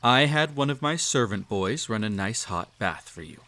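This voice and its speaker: Cruel male emperor, acted by a man, lowish voice, pompous and teasing, speaking intimately to the listener in audio drama. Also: expressive, normal speed, sharp tone. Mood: indulgent, condescending. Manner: pompous, formal, teasing, patronizing. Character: cruel, possessive male emperor, silky and condescending.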